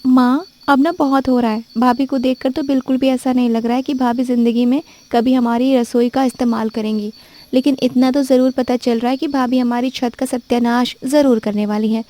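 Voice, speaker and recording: A woman voicing a nagging young sister-in-law in a cartoon story, whiny and high-pitched. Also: steady tone, moderate pace, warm tone, natural voice. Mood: complaining.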